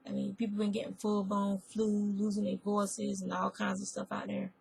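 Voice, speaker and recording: Hoarse, gravelly-voiced woman chatting. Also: mid-range voice, completely flat delivery, normal speed, a little mellow. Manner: rambling, chatty, stops to correct herself. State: hoarse, losing her voice. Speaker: fiber arts vlogger. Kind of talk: casual chat.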